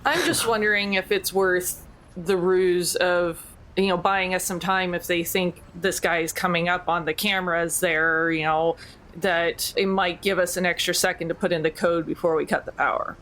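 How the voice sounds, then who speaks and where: Female role-playing gamer, slightly low voice, casual and conversational, floating ideas to the table. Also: fairly even intonation, moderate pace, a bit bright. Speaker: tabletop game player. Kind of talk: casual speculative table talk.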